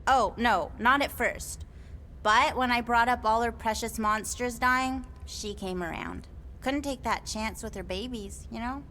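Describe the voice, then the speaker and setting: A woman's voice: a little high, a little slow, pushed and bright, slight background noise. An actress playing a quirky, playful female alien mystic in a video game, with a whimsical, sing-song delivery. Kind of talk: video game cutscene acting.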